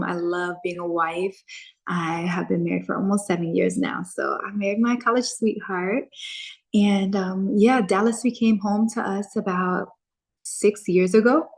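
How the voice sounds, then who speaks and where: A woman in her thirties from Chicago, a guest on a podcast, speaking calmly and reflectively with frequent ums. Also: mid-range voice, gravelly. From Chicago, USA. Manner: calm, reflective, with frequent um and soft laughs. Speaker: podcast guest, content creator and author. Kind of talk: casual interview chat.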